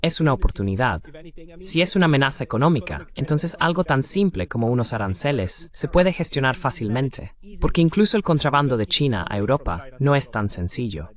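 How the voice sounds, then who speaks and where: A man speaks as the translation voice for an interviewer. He delivers commentary and questions at an ordinary middle pitch, in a voice-over style. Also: big pitch swings, fairly quick.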